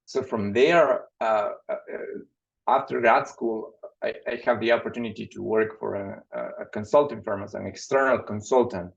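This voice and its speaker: A man from Ecuador, an assistant professor, introducing himself on a webinar panel, halting and often repeating his words over a video call. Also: on the low side, creaky. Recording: dull lo-fi video call audio.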